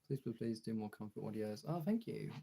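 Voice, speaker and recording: Hoarse, gravelly low-voiced British man. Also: expressive, a little fast, dark tone. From Britain. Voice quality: raspy, throaty. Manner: informal, sweary. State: hoarse from illness, throat clearing. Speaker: live streamer and audio voice performer. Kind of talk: casual livestream chat.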